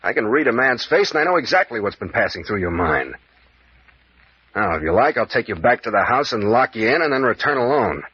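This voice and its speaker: An American actor playing a bold, sardonic adventurer captain in an old-time radio serial, with needling, probing delivery. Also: male voice, medium pitch, very animated, brisk, bright, forceful tone, breathy edge, heavily acted. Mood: insistent, challenging. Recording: muffled, echoey vintage radio recording.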